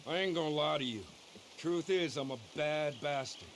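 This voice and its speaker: An actor playing a rough, proud, vengeful American outlaw in a western video game, plain-spoken and boastful. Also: male voice, a little high, normal speed, a little hiss or hum, lightly acted. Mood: emphatic, proud. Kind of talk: video game cutscene acting.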